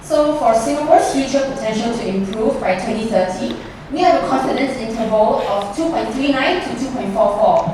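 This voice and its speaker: A young woman steadily explains survey figures. Her voice is somewhat high and sounds far from the mic, and a heavy echo from the hall surrounds it. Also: animated, moderate pace, background noise. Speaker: student presenter. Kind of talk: presenting survey results.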